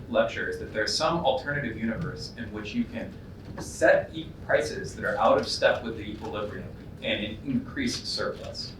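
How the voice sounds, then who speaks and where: A man in the audience of a lecture hall asking a skeptical, pressing question with hesitant fillers. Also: fairly low pitch. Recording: distant echoey hall mic with noise.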